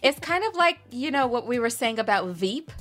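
A woman's voice: a little high, big pitch swings, moderate pace, a bit bright, faint music behind. A woman co-hosting a podcast in casual chat, speaking in a blunt, sardonic way and swearing freely. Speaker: podcast co-host.

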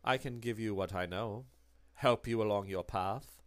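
A man voicing a menacing German occultist who talks softly, low and measured, coldly polite as he probes. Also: clean tone, dry recording, natural voice. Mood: coldly probing. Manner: soft, low, measured and probing.

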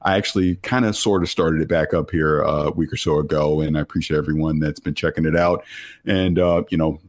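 A man's voice: very deep voice, steady tone, a little fast. A man guest on a gaming podcast, easygoing and appreciative as he talks. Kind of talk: casual podcast chat.

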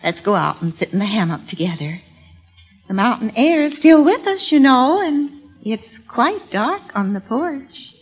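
A woman's voice: on the high side, very animated, measured pace, husky, lo-fi sound, full character voice. A woman playing a fluttery, placating middle-aged wife in an old radio comedy. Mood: soothing, flustered. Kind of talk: 1950s radio comedy acting.

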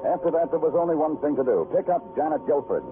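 An American actor playing a wry, weary police detective who narrates his case in a deliberate, clipped way, in old-time radio crime drama style. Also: a little high, animated, phone-quality sound, music in the background, natural voice. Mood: wry, brooding. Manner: deliberate, clipped, hard-boiled poetic narration.

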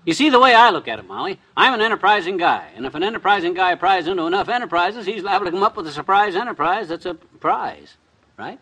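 A man's voice: high voice, animated, fast talker, pushed and bright, exaggerated voice, low-quality recording. An American man acting a boastful, scheming, middle-aged husband in a folksy, blustering 1950s radio comedy style. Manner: folksy, stammering when flustered.

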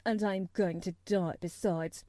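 A woman's voice: on the low side, fairly even intonation, unhurried, dark tone, dry recording, close to an everyday voice. A woman voicing a spoiled, self-pitying young invalid boy in a storybook, demanding and petulant. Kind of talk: audiobook character voice.

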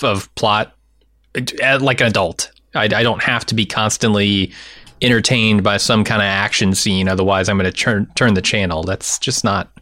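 Low-voiced man, dry close sound. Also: sharp tone, no room sound. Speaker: podcast co-host. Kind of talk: casual opinionated chat.

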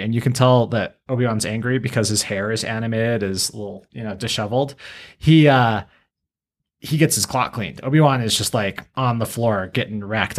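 A man co-hosting a podcast in casual pop-culture commentary, with wry, colorful exaggeration. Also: mid-range voice. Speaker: podcast co-host.

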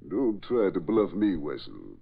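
An actor playing a shrewd, haughty double-dealing spy in a 1940s radio drama, theatrical and indignant, with a gravelly, husky voice. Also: male voice, sits low, huge pitch swings, unhurried, full character voice. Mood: indignant, defiant. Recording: dull old radio recording.

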